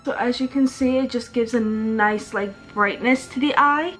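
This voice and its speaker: A woman's voice: on the high side, moderate pace, a bit bright, soft background music, low-quality recording. A woman in her twenties with an American accent chats casually and informally through a makeup tutorial, recorded indoors in a bedroom. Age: 20s. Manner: informal, self-deprecating, sprinkles in swearing. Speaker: beauty vlogger.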